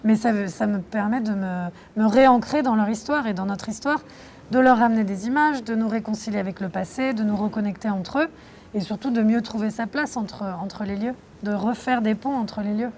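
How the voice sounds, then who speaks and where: A young woman from France answering interview questions about her documentary, reflective and thoughtful, pausing often. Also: mid-range voice, darkish tone, a little hiss or hum. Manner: reflective, thoughtful, with frequent hesitations. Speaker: documentary filmmaker being interviewed.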